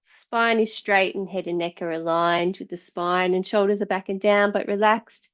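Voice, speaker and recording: A woman yoga teacher guiding meditation and breathing in a measured, soothing way, leaving long pauses between phrases. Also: mid-range voice, fairly even intonation, smooth. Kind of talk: guided yoga and meditation instruction. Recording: dull, slightly lo-fi sound.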